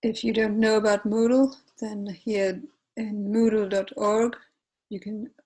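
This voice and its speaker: Woman, Finnish accent, slow, hesitant with many fillers, tutorial narration, webcam mic. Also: mid-range voice, level tone, a little mellow. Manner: unhurried, hesitant, many fillers and self-corrections. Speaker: tutorial presenter. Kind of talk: screen-share software tutorial.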